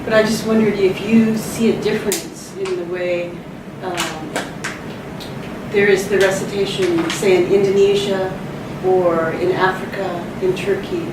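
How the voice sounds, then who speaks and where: A woman's voice: mid-range voice, fairly even intonation, measured pace, noisy background. Thoughtful woman asking from afar. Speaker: audience member. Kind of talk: asking questions. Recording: echoey room, distant mic.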